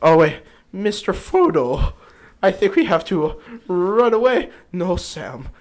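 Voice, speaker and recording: A man's voice: high voice, sing-song, measured pace, a little mellow. A man voicing a timid, nervous halfling with a hesitant, stammering delivery.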